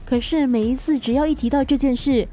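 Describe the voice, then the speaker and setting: A woman's voice: sits high, fairly quick, dark tone, close to an everyday voice. An actress playing an earnest, easily wounded wife in her thirties in a radio drama, with emotive, pleading delivery. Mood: weary, aggrieved. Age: 30s.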